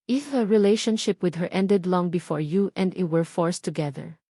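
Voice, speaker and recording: Woman voicing a gentle, sorrowful young Filipino man. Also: on the low side, normal speed, slightly rough, no echo, clean studio sound. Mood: earnest, pleading. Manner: soft, hesitant, earnest delivery. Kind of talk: romantic audiobook character dialogue.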